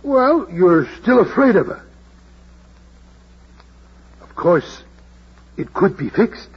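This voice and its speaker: An actor in a vintage radio drama playing a kindly, hesitant, fatherly older taxi driver with a husky voice and a coaxing manner. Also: high-pitched, big pitch swings, highs cut off, exaggerated voice. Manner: slow, hemming, gently coaxing. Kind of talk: 1940s radio drama acting.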